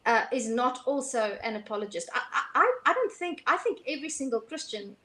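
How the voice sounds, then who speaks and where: A woman's voice: high voice, lively intonation, brisk, bright, forceful tone. Woman, thoughtful and hesitant. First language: Afrikaans. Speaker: host. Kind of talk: casual conversation.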